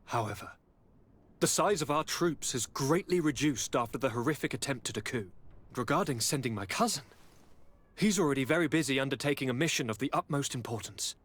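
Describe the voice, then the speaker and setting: A man's voice: medium pitch, fairly bright tone, husky. Theatrical, lilting young nobleman. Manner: florid, courtly, theatrical. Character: smooth aristocratic young male governor, theatrical, self-satisfied. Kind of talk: game cutscene acting.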